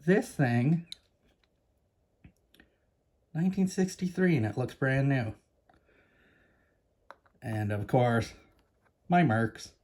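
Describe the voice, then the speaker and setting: A man's voice: medium pitch, slow, long pauses, darkish tone. A man with a Canadian accent hosting a casual coin-collecting vlog, chatty and enthusiastic, talking straight to his viewers. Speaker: coin collecting channel host. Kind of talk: casual show-and-tell vlog.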